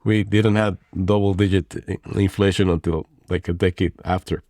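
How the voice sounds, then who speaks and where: A man in his forties from Mexico talks in a very low, deep voice as an interview guest. He reflects as he goes, restarting phrases and adding fillers. The sound is close and dry. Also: steady tone, moderate pace, darkish tone, dry recording. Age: 40s. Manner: thoughtful, rambling with frequent restarts and fillers. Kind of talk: conversational interview.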